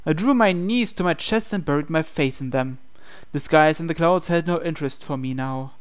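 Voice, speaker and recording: A man narrates a visual novel playthrough from the script. His voice is fairly high for a man, smooth and clear, with a dramatic storytelling delivery. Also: moderate pace.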